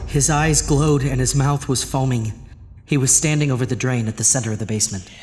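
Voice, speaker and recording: A man's voice: mid-range voice, a little fast, background noise, close to an everyday voice. A man playing an anxious, guilt-ridden suburban husband in a horror audio drama. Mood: haunted, regretful. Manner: confessional narration breaking into frantic outbursts. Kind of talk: horror audio drama acting with first-person narration.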